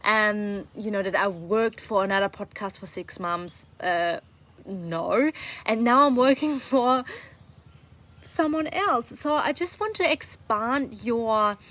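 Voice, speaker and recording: Non-native woman with a German first language, raised voice, slow, enthusiastic coaching monologue. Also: a little high. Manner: earnest, enthusiastic, many fillers. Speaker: podcast coach. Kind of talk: live video monologue.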